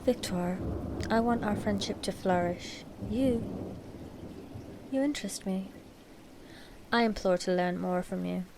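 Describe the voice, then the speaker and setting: A woman playing a doll-like vampire royal, sweet and scheming, speaking formally and deliberately in a scripted ASMR roleplay. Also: mid-range voice, background noise, natural voice. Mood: coaxing, sweet.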